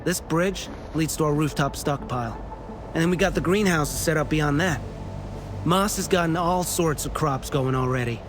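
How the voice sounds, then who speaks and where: Actor as blunt, impatient young guy, higher voice. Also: normal speed, music in the background, close to an everyday voice. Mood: matter-of-fact. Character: frustrated, gun-keen young man guarding a settlement. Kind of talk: video game cutscene acting.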